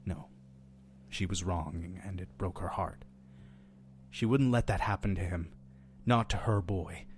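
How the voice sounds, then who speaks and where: Man playing a low-voiced, mysterious storytelling innkeeper. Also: a little slow, pauses often, slight background noise. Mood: hushed, solemn storytelling. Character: mysterious, friendly all-seeing innkeeper who tells stories. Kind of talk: audio drama storytelling and dialogue.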